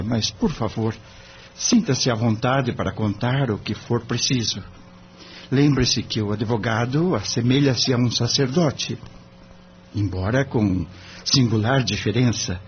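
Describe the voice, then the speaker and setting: An actor with a Brazilian accent playing an earnest young lawyer, polite, formal and gently probing in an audio drama. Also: male voice, mid-range voice, natural voice. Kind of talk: audio drama acting in Portuguese. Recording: dull lo-fi audio with clear noise.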